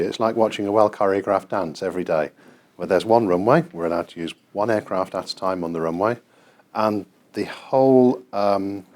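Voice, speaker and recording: A middle-aged British man gives an explanatory guided tour in a measured, precise, emphatic way. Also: fairly low pitch. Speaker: air traffic control manager and tour guide. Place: large airport control tower room, indoors. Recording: clear ambient noise of a working control room. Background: control room ambience.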